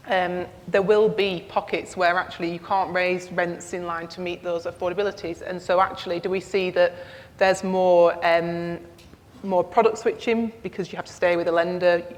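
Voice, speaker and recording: A woman with a low, flat, even voice speaks on a panel, measured and careful with many pauses and fillers. A long hall reverb trails behind her words. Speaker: lender panelist. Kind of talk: panel discussion. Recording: echoey hall.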